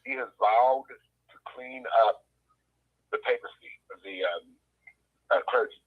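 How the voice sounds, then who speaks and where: An older man co-hosting a casual talk show by phone, speaking haltingly with many fillers and restarts. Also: medium pitch, big pitch swings, narrow, tinny audio. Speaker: co-host.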